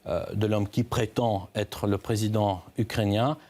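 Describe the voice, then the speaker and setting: A man's voice: lowish voice, steady tone, dark tone. A man giving live news analysis as a correspondent, hesitant, often restarting his words. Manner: halting, hesitant delivery with repeated word starts.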